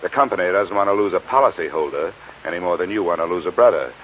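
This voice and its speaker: An American man playing a blunt, deadpan insurance investigator in an old-time radio crime drama, speaking in a clipped manner. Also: low voice, fast, slight background noise. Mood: brisk, probing. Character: brisk, blunt hard-boiled male insurance investigator narrating his case. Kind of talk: 1940s-50s radio detective acting. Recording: tinny band-limited old radio, music behind.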